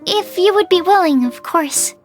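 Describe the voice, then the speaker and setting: A sweet young girl from a game, very high in pitch. She is shy and polite, slightly hesitant, with soft music behind her. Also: expressive, moderate pace.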